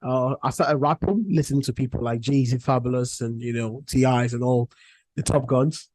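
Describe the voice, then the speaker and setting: A young man from Cameroon, a music artist, talking as a guest in a casual interview, relaxed and rambling with many restarts. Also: medium pitch, moderate pace, no room sound.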